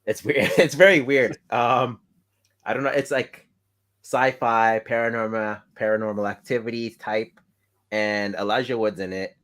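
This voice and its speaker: A man's voice: lowish voice, steady tone, measured pace, a bit bright. A man co-hosting a casual podcast, laid-back and easygoing. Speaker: podcast co-host. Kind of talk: casual chat.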